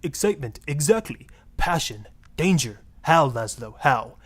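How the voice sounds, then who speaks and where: A man's voice: on the high side, big pitch swings, measured pace. A man voicing a suave, sleazy marriage guru with a Spanish accent, florid, seductive and pompous, in a satirical game radio style. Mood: passionate, wounded. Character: suave, sleazy Latin male marriage guru, grandly passionate.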